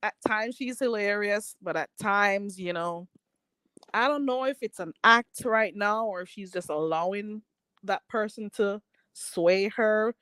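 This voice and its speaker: A woman hosts a relaxed livestream, reading viewers' comments aloud and wandering from thought to thought. Her talk is full of "you know" and easy laughter. Her voice sits at a middle pitch and sounds dry and close, with no room around it. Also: unhurried, clear, resonant tone, no echo. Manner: rambling, filler-heavy, laughs often. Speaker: livestream host reading chat. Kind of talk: casual chat.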